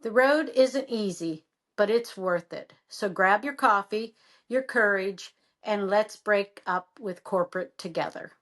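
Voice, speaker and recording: A woman co-hosting a podcast who works as a real estate agent; she reads the intro and the guest welcome in a steady manner. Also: medium pitch, a little slow.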